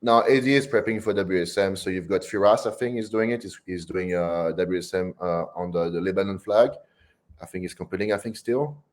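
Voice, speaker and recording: A man's voice: low-pitched, brisk. A man from New Caledonia with a French accent, a strongman coach answering interview questions casually with many fillers.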